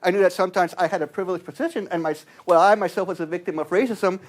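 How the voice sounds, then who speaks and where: A man's voice: high-pitched, rapid-fire. A man from Guatemala giving a university lecture as a guest anthropologist, talking conversationally and tagging his points with "right?".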